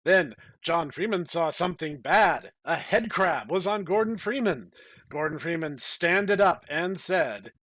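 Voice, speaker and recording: A man's voice: fairly high pitch, animated, a little slow, fairly bright tone, dry recording. A man co-hosting a comedy podcast, moving between dramatic story reading and casual banter. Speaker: podcast co-host.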